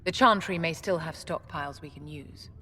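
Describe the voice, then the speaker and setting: An actress playing an elegant, imperious middle-aged enchantress in a video game, with a haughty, deliberate manner. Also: female voice, low-pitched, bright, forceful tone. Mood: stern, advising. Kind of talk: video game dialogue acting. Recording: noisy, long hall reverb.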